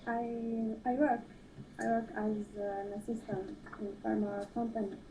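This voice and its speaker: A woman from Algeria, calling in and answering haltingly over a faint connection. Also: on the high side, level tone, mellow. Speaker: caller. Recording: faint, noisy distant internet call.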